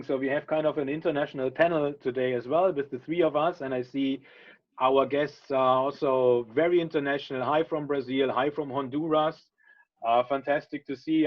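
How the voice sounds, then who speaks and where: Middle-aged German host on a video call, mid-pitched, dull lo-fi sound, filler-heavy and friendly. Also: male voice. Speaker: webinar host. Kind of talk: casual webinar hosting.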